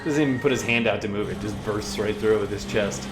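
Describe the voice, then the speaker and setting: A man hosting a reaction video, chatting casually and musingly in halting asides as he comments on a cartoon. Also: fairly low pitch, over music.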